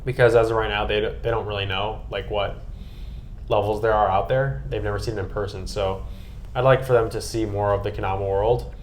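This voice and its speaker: A man's voice: lowish voice, steady tone, fairly quick, a little hiss or hum. An American man chatting casually and earnestly on a podcast, with an enthusiastic manner. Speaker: podcast guest and co-host recapping a trip.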